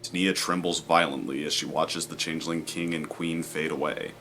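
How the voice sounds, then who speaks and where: A man's voice: extremely low pitch, fairly even intonation, moderate pace, bright, forceful tone, soft background music. A man giving scripted narration of the action in an audio drama, as its narrator.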